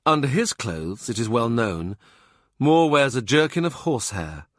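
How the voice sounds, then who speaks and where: A man narrating a historical novel as an audiobook in a measured, even-toned reading. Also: medium pitch, no echo, clean studio sound.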